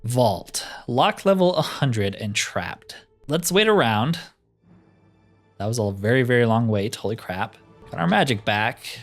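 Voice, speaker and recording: A man's voice: medium pitch, very animated, a little slow. A man giving casual gameplay commentary, thinking aloud with dry, joking asides. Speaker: gamer narrating a roleplay playthrough. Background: game music.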